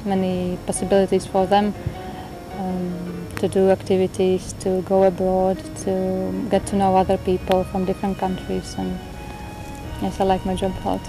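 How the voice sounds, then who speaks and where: Earnest young Latvian youth worker. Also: female voice, medium pitch, monotone, poor audio. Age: 20s. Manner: earnest, with hesitant pauses and fillers. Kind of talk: documentary interview. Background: background music.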